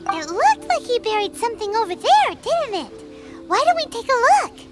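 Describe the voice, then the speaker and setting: A woman voicing a small, excitable, childlike fairy companion in a video game, bossy and cheeky with a squeaky voice. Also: moderate pace. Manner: exclamatory, speaks of self in third person. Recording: loud music behind voice.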